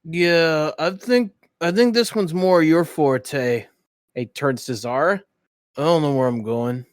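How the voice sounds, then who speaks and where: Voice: mid-range voice, slow, dry recording, clean studio sound. A tabletop game player chatting casually and thinking aloud.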